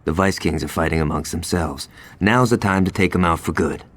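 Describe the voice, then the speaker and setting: Low-voiced, impatient gangster lieutenant. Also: male voice, brisk. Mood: businesslike, doubtful. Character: impatient, sceptical gangster lieutenant with a short temper. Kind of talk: video game cutscene acting.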